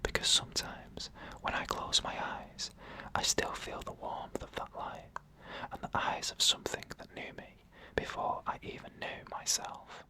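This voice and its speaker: Bright, whispering ASMR storyteller. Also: low-quality recording. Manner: slow, hushed, deliberate phrasing with pauses. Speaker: ASMR storyteller reading first-person accounts.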